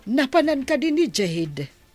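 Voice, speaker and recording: A woman's voice: sits high, very animated, measured pace, darkish tone, faint music behind. An actress playing a concerned, admonishing older mother in a radio drama, with a sighing manner.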